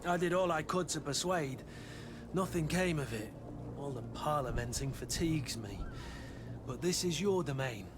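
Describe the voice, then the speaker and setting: An actor playing a weary, loyal soldier companion in a fantasy video game, measured and dry, with old-fashioned phrasing. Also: male voice, on the high side, expressive, noisy background, lightly acted.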